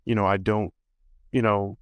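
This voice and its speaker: A man's voice: on the low side, little pitch movement, normal speed, clean tone, no room sound. A male podcast host chatting casually and rambling, with many fillers. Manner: rambling, full of "you know" and "like". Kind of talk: casual interview chat.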